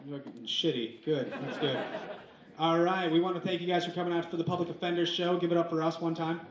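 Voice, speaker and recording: A man's voice: on the high side, steady tone, quick pace, dull audio. Crude stand-up man in an echoey club. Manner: crude, punchy joke delivery. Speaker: stand-up comedian. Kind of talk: stand-up comedy set. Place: comedy club stage. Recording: echoey club with crowd noise. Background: audience crowd.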